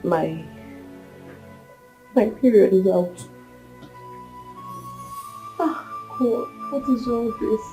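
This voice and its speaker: A woman's voice: a little high, animated, drawn-out words, pauses often, dark tone, soft background music, exaggerated voice, rough recording. An actress playing a troubled, earnest young Nigerian woman in a film drama, plaintive and given to repeating herself. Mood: weary, despairing.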